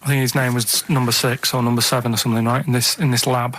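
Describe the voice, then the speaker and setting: A man's voice: medium pitch, flat delivery, quick pace, fairly bright tone. A man with a Manchester accent telling a story informally on radio, rambling and searching for his words. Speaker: radio show contributor.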